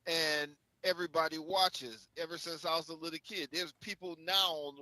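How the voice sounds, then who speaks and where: A man's voice: on the high side, fairly even intonation, moderate pace, pushed and bright, no echo, rough recording. A man taking part in a show, offering his opinion in casual chat. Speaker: show participant giving opinions.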